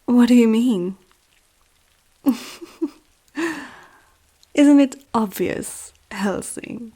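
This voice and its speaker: A woman playing a powerful, seductive, possessive vampire aristocrat in an ASMR roleplay, speaking softly and often whispering, with a teasing, regal menace. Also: fairly high pitch, very slow, exaggerated voice. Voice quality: soft, breathy, often dropping to a whisper. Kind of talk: ASMR roleplay, intimate slow scripted monologue.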